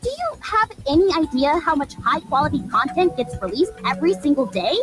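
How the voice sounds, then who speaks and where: A woman's voice: high-pitched, very animated, brisk, background noise. A woman voicing a brash young female media hustler in a video game, blunt and sarcastic, with exaggerated delivery. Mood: scornful. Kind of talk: video game cutscene voice acting.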